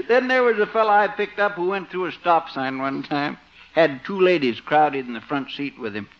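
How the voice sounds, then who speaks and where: An actor playing a folksy, teasing American town marshal in a 1950s radio comedy, amused and skeptical in manner. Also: male voice, a little high, expressive, moderate pace. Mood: chuckling. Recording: muffled old radio recording.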